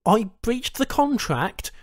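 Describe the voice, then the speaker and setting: A man's voice: extremely high pitch, big pitch swings, slow pace, no echo, clean studio sound, voice pushed up, exaggerated voice. A man playing an anxious, indignant human captive in a science fiction audiobook, protesting and questioning his captors with wry disbelief. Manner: protesting, questioning, wryly incredulous.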